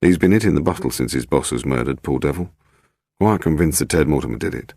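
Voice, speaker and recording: A man's voice: very deep voice, lively intonation, fast talker, creaky, darkish tone, no room sound, clean studio sound, exaggerated voice. A man playing a blunt, world-weary, matter-of-fact English police inspector. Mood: confiding, puzzled.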